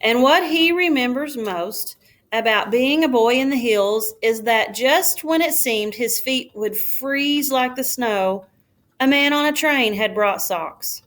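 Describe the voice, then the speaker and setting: A woman reading a children's storybook aloud like a schoolteacher, in a careful, expressive storytelling manner. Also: on the high side.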